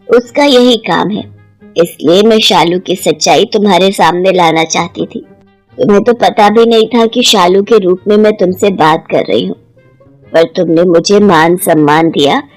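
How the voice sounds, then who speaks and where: A gentle, protective older mother-in-law in a cartoon moral story, acted by a woman. The voice sits lower and speaks in a measured, reassuring way, with faint music behind it.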